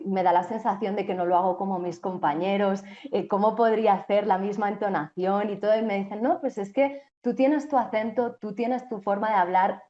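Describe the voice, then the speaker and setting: A woman's voice: medium pitch, lo-fi sound. A young woman from Spain with a Spanish accent, a journalist answering interview questions thoughtfully, with frequent restarts and fillers. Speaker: TV journalist and co-host.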